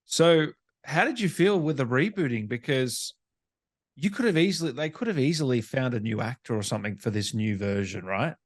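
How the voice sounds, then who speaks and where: A man's voice: medium pitch, lively intonation, normal speed, no echo, clean studio sound. A man hosting a casual interview, speaking in a conversational way. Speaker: interviewer, gaming show host.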